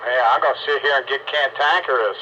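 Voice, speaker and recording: A man's voice: medium pitch, quick pace, sharp tone, dull audio. A man hosting a casual radio chat in a folksy, grumbling way. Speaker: host of a radio roundup net. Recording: noisy, band-limited two-way radio audio.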